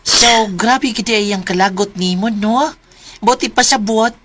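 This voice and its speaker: An actress playing a sympathetic, gossipy friend in a conversational radio drama scene. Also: female voice, a little high, measured pace, a bit bright. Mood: concerned, indignant. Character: sympathetic, gossipy female friend, indignant on her behalf.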